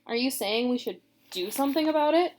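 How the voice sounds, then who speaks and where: A woman's voice: high-pitched, lively intonation, brisk, darkish tone. A woman reading aloud, voicing a bossy, practical young boy who talks bluntly and firmly.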